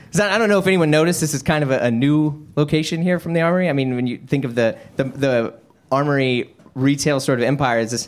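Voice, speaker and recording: A man hosting a live conversation before an audience, informal and joking, with rambling questions. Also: a little high. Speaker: host and interviewer. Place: live event in a menswear store.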